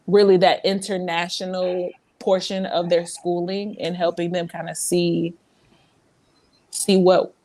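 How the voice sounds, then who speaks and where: A woman's voice: lowish voice, darkish tone. A young woman from South Carolina with a light Southern accent, talking earnestly and reflectively as a podcast guest, with many restarts. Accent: Southern American. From South Carolina, USA. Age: young adult. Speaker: podcast guest, teacher abroad. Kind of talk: casual podcast conversation.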